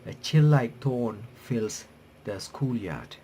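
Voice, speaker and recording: Male playthrough YouTuber, unhurried and halting, narrating a visual novel over some background noise. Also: medium pitch, animated, dark tone. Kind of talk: reading game text aloud with casual commentary.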